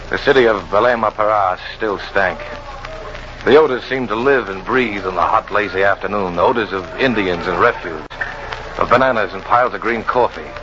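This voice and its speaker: An actor playing a world-weary American jungle guide, wry and tough, in hard-boiled old radio drama style. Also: male voice, sits low, close to an everyday voice. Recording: muffled old radio recording, clear noise.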